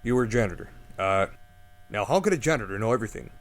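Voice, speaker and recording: A man's voice: lowish voice, animated, quick pace. An actor playing a flustered male radio host who rambles about conspiracy theories, with a faint mains hum behind.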